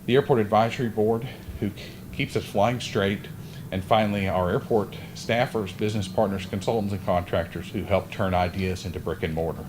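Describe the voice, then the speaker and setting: A man's voice: lowish voice, brisk, noisy background. A man who is an airport official reads prepared ceremony remarks at a podium outdoors, working through a list of thanks with occasional slips. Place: outdoor groundbreaking site. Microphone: podium microphone.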